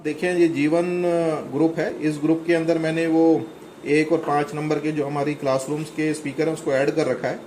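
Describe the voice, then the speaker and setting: A man's voice: on the high side, level tone, moderate pace, darkish tone, slight background noise, rough recording. A middle-aged man giving a step-by-step product demonstration, explaining plainly with a few hesitations, indoors beside the equipment. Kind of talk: product walkthrough demo.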